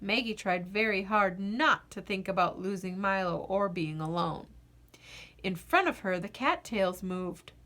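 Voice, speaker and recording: Animated, bright-voiced woman reading a storybook. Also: mid-range voice. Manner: animated, deliberate, with dramatic pauses. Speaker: children's storybook reader. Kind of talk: expressive read-aloud narration of a picture book.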